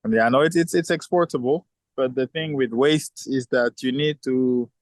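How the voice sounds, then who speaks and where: A man's voice: medium pitch, moderate pace, dry recording. A man who is a chemical engineer and founder, answering interview questions thoughtfully with many restarts and fillers. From southern Africa.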